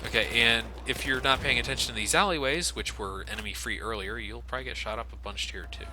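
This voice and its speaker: A man's voice: mid-range voice, quick pace. A man giving casual, sarcastic, sweary commentary as he plays a video game. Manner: sarcastic, profane. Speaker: let's play commentator. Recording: loud gunfire and game noise behind. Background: video game gunfire.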